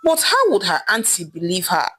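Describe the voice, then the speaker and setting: A woman narrating a scripted folktale in a dramatic, expressive storytelling manner. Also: lowish voice, moderate pace, husky. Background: background music.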